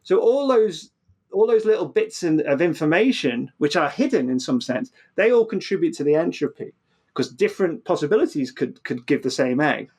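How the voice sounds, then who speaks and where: A man's voice: fairly high pitch, very animated, moderate pace, darkish tone, slightly rough. A man explaining physics conversationally as an interview guest, often repeating words and restarting mid-phrase.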